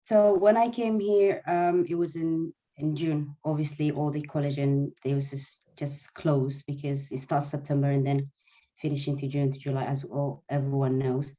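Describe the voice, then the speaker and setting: A man's voice: on the high side, little pitch movement, normal speed, mellow. A young man from Eritrea who grew up in Sudan, a native Arabic speaker, answering interview questions earnestly in a webinar, with small grammar slips. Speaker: guest, nursing student and former refugee.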